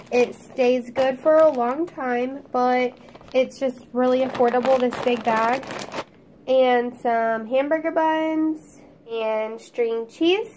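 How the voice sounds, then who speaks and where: Stuffy-nosed southern mom from oklahoma, high voice, chatty and homey, rattling off groceries. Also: female voice, darkish tone, slight background noise. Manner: chatty, homey, listing items. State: congested, sniffly, crackly voice. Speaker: YouTube vlogger, mom. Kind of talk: casual grocery haul narration.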